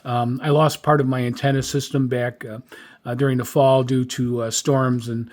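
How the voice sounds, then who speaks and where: A man talking informally as a radio hobbyist host, halting often as he reviews his notes. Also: medium pitch, little pitch movement, a little mellow. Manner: halting, frequent hesitations. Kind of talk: informal spoken log review.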